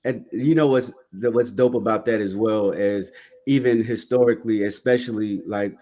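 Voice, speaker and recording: A male podcast host with a lowish voice, speaking in a laid-back way. He asks open questions and keeps backing up his guest with short affirmations. He sounds at ease in a casual sit-down conversation. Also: fairly even intonation, normal speed, dark tone. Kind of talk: casual interview hosting.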